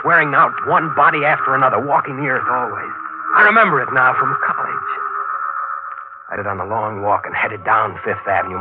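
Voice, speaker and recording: A man's voice: mid-range voice, phone-quality sound, natural voice. An actor playing a brooding, obsessive newspaper reporter who narrates his own mystery in intense 1940s radio style. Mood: haunted, intense. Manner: intense, dramatic. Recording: band-limited old 1940s radio, music behind.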